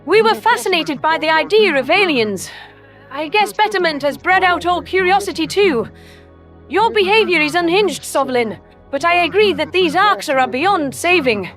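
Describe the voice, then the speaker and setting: A woman voicing an ancient alien predator soldier in a sci-fi audio story, with a very high voice. The speech is smooth, formal and reproachful, with faint music beneath. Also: lively intonation, moderate pace, a bit bright. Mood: appalled. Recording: music under the voice.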